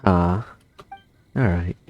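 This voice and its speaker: A man's voice: low-pitched, drawn-out words, highs cut off, a little hiss or hum. A young man giving casual, slangy gameplay commentary, reading dialogue choices aloud as he plays. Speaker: gamer playing and reacting.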